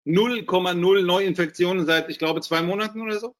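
A man's voice: sits high, brisk. A man interviewing a guest in a casual way, with informal, joking asides and frequent fillers.

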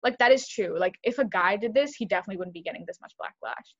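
A young woman co-hosting a podcast, talking fast and animated in casual chat, with lots of "like". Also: mid-range voice, highs cut off. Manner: fast, animated, heavy use of "like". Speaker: podcast co-host.

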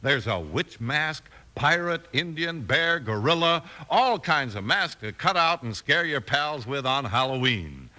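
A man's voice: mid-range voice, big pitch swings, a little slow, few pauses, sharp tone. An American man announcing a 1950s radio show from a script, with emphatic, dramatic delivery. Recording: old radio broadcast over music. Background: music.

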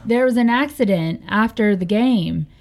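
A woman co-host on a radio show retelling a news item in a casual, halting way. She leans heavily on "like" as she goes, with a mid-range voice. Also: mid-range voice, darkish tone, soft background music. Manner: hesitant, lots of "like".

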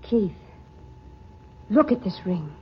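An actress playing a genteel, anxious sweetheart in a theatrical, refined 1940s radio manner. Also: female voice, medium pitch, sing-song, takes it slowly, a little hiss or hum. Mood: shaken. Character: genteel, anxious young sweetheart in a mystery play. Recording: muffled old radio recording.

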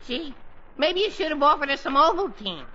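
Very high-pitched teenage boy, goofy, melodramatic, swooping delivery, 1940s radio comedy, noisy old recording. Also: big pitch swings, fairly quick, highs cut off. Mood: flustered. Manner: dramatic, exaggerated delivery.

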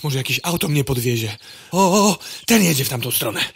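Woman as a brash, excitable husky lady fly. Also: extremely low pitch, fairly quick, no room sound, clean studio sound, heavily acted. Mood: lost, scheming. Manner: chatty, excitable, colloquial and pushy. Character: brash, stubborn female city fly, lost in the countryside. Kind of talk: comic audio drama acting.

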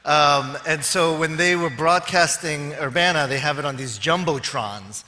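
A man in his fifties delivers a sermon from a stage, his voice high and bright. He teases himself and the audience in a wry, easy way before settling into teaching. Long reverb from the large hall trails after his words. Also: unhurried. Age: 50s. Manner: wry, joking asides to the audience. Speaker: preacher. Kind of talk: sermon with self-deprecating humor.

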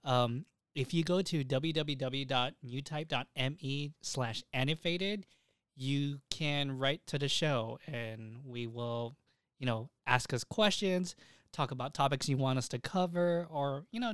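A man's voice: mid-range voice, unhurried, a bit bright, smooth, dry recording, studio quality. A young man hosting a podcast, talking informally with plenty of slang. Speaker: podcast host. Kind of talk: casual podcast chat.

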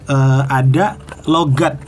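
A man's voice: mid-range voice, fairly even intonation, takes it slowly. A man in a casual chat, explaining at length and acting out sample compliments.

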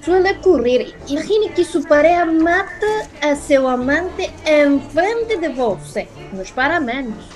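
Una actriz de radionovela que interpreta a una doctora extranjera que explica con calma y tranquiliza, con acento brasileño. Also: female voice, sits high, unhurried, faint music behind. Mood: reassuring. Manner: explanatory, uses Portuguese "você". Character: matter-of-fact foreign woman doctor, reassuring. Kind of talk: radio soap opera acting.

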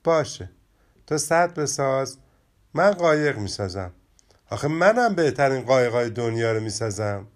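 A man voicing a proud, quick-tempered young rabbit boy in a children's storybook reading, speaking with emphatic indignation. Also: medium pitch, big pitch swings, close to an everyday voice. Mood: eager.

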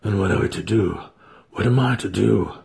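A man voicing a timid, downtrodden male dark elf with a hesitant, subdued way of speaking, in a fantasy story reading. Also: on the low side, normal speed, creaky, breathy edge, heavily acted. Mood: crestfallen.

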